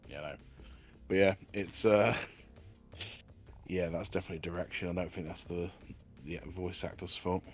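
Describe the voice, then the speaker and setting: A man's voice: sits low, steady tone, normal speed, pauses often. A man teasing and bantering in a casual stream chat. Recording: faint background music.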